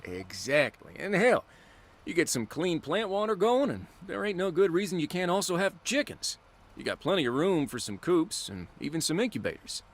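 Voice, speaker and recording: A lively, folksy frontier lawman. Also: fairly high pitch, moderate pace. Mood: eager, encouraging. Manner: animated, encouraging, colloquial. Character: genial, folksy frontier lawman in a post-apocalyptic western. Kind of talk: video game cutscene acting. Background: background music.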